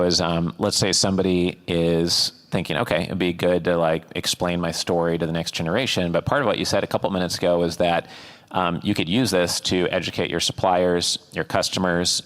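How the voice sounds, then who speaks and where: A man with a very deep voice hosts a fireside chat, asking long, winding questions full of fillers. His speech is loose and conversational. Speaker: interviewer and host.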